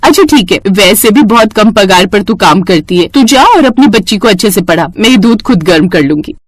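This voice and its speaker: A woman's voice: mid-range voice, expressive, fairly quick, no room sound, close to an everyday voice. A woman voicing a breezy, well-off young housewife in a cartoon story, chatty and bossy but friendly. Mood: casual. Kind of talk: Hindi cartoon story dialogue.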